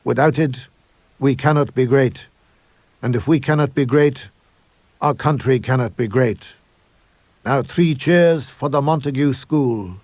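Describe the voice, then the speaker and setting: A man's voice: mid-range voice, measured pace, long pauses, darkish tone, no echo, voice pushed up, close to an everyday voice. A man voicing a proud, sinister older school headmaster in a supernatural tale, pompous and theatrical in his delivery. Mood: grandiose, declamatory. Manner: theatrical, declamatory, grandiose.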